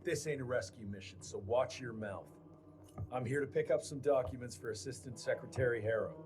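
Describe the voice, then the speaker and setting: A male actor voices a scornful, tough cowboy in a game cutscene, with an American accent. The delivery is curt and gruff at a middling pitch, with soft music underneath. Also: a little fast, natural voice. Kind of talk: video game drama acting.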